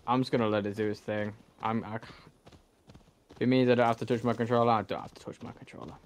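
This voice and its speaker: A man's voice: on the low side, fairly even intonation, quick pace. A young man who speaks English as a second language, giving casual let's-play commentary, rambling, correcting himself and swearing at the game. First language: not English. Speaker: gameplay streamer.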